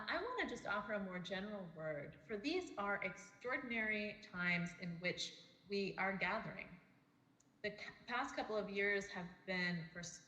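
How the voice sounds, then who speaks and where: Brief: female speaker on a recorded video, measured and earnest, bright tone, echoey room with a long reverb. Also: medium pitch. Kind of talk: prepared presentation.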